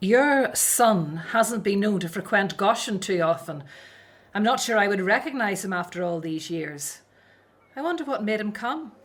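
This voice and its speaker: A woman's voice: mid-range voice, expressive, normal speed, lightly acted. An actress in a radio drama playing an outspoken, frustrated Hebrew daughter, earnest and pointed as she frets for her family. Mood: skeptical, bitter.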